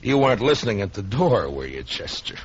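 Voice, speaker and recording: A steady, weary frontier lawman acted by a man in a radio western. His American voice is deep yet animated, plain-spoken and coaxing. The sound is lo-fi and a little noisy. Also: fairly quick, slightly rough. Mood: weary, reluctant. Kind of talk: old-time radio western acting. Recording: dull lo-fi recording with background noise.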